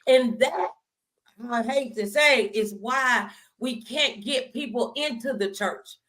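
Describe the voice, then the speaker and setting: Higher-voiced folksy woman talk show host. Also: takes it slowly, a bit bright. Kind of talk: conversational faith talk.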